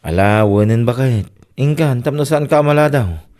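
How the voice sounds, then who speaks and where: A man's voice: mid-range voice, lively intonation, moderate pace, darkish tone, natural voice. A man with a Filipino accent playing a considerate, caring husband in a radio drama. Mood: concerned. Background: music.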